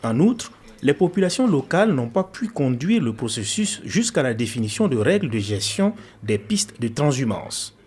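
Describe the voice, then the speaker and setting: A man's voice: medium pitch, big pitch swings, moderate pace, a little mellow, slightly rough. A man narrating a documentary report in a scripted style.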